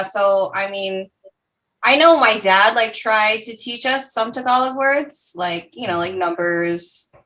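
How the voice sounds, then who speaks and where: A woman guest from the United States chatting casually in a podcast interview, laughing often and filling pauses with "um" and "like". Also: medium pitch, bright, forceful tone, rough recording.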